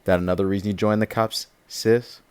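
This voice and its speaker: An actor playing a defiant, streetwise young activist in an audio drama, with a sardonic, informal way of talking. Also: male voice, fairly low pitch, fairly even intonation, brisk, smooth, dry recording, lightly acted. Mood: bitter. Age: young adult.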